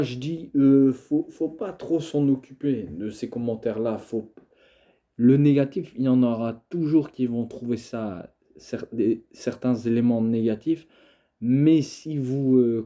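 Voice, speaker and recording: A man's voice: medium pitch, dark tone. A young man with a cold, talking casually to camera in a hesitant way, full of fillers and restarts. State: has a cold, coughing. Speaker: video creator.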